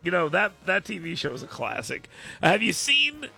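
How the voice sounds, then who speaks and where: A man's voice: high-pitched, big pitch swings, moderate pace, creaky, bright, forceful tone, husky, soft background music. A man hosting a podcast, joking bluntly in casual chat. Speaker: podcast host.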